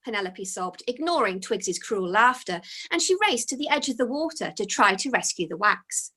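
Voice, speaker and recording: A woman reading her own children's story aloud as its author, in an expressive storytelling style. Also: mid-range voice, normal speed, slightly rough.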